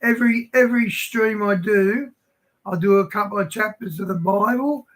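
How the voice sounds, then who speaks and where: A hoarse man hosting a livestream, reading scripture aloud haltingly and chatting casually. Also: sits high, a bit bright. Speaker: livestream host.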